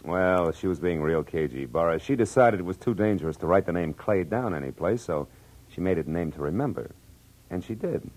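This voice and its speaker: A man's voice: sits low, animated, brisk, darkish tone, rough recording. An American man playing a wry, world-weary private eye in a 1940s radio drama, with laconic delivery. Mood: matter-of-fact, wry. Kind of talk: 1940s radio drama acting with first-person narration. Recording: vintage 1940s radio broadcast, music underneath.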